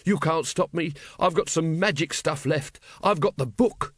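A man's voice: on the high side, a little slow, husky, no room sound, studio quality, pitched above the performer's own voice. A man voicing a ranting, petulant, boastful male villain in an audiobook. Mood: defiant, agitated. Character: ranting, squeaking, paranoid male usurper villain. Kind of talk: audiobook character acting.

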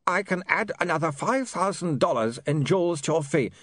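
A man's voice: on the high side, animated, normal speed, fairly bright tone, no room sound, pitched above the performer's own voice. Man doing a cold clipped villain, menacing, dry sound.

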